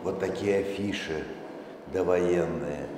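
A middle-aged man giving a live presentation to an audience, explaining things in a halting way through a handheld microphone on stage. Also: deep voice, noisy background. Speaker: museum director presenting an exhibition project. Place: large dark auditorium stage, indoors. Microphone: handheld microphone through hall speakers. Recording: echoey hall with long reverb, loud music. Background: archival music playing.

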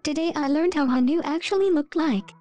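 High-voiced woman reading memes. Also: fairly quick, lo-fi sound. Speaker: meme video narrator. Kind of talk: expressive scripted voice-over reading memes and comics. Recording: music behind the voice.

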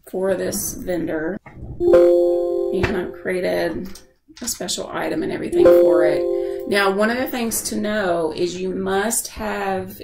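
A woman giving a casual software tutorial as a screen-share voice-over, explaining informally and thinking aloud. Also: fairly low pitch, creaky, reverberant, rough recording. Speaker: software instructor.

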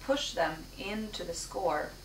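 Lady cooking host talkin thru a recipe, lowish voice, roomy kitchen sound, kinda far from the mic. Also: takes it slowly. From Sweden. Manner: relaxed, explaining step by step while cooking. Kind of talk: home cooking demonstration. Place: home kitchen, indoors. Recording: echoey kitchen, distant mic, noisy.

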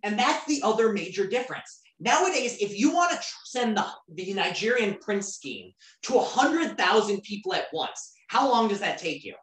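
A woman's voice: medium pitch, very animated, moderate pace, hardly any pauses. A woman teaching an online class in a casual, conversational way, often asking the students questions. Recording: roomy, distant from the mic.

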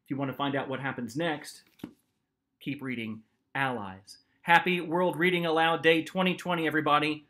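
Guy in his 40s doing an animated storybook read, voice a bit on the high side. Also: normal speed. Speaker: author reading his book aloud. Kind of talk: expressive storybook narration. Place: small home office, indoors.

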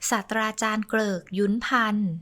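A woman narrating a rhyming children's story in a sing-song, lively way, adding playful sound effects. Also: medium pitch, fairly quick.

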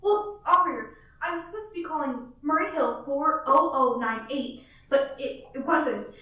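Fretful invalid woman on the phone, played by a girl; high, bright, echoey and distant. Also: normal speed, muffled recording, natural voice. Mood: anxious. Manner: anxious, pleading, fretful. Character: nervous invalid woman, frightened caller. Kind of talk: student radio play acting.

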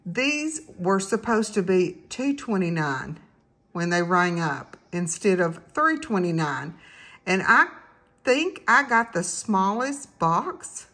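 A woman chatting casually to viewers as a couponing vlogger, in a halting, rambling way. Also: medium pitch, big pitch swings, bright, forceful tone. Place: indoors at home.

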